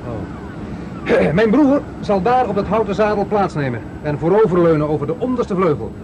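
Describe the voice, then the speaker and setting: Higher-voiced male inventor, noisy background. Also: big pitch swings, moderate pace, highs cut off. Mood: precise, explanatory. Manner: precise, technical explaining. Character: precise, proud male inventor showing his machine. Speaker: actor in a radio play. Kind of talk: 1970s Dutch radio drama acting. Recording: old radio play, loud outdoor noise effects.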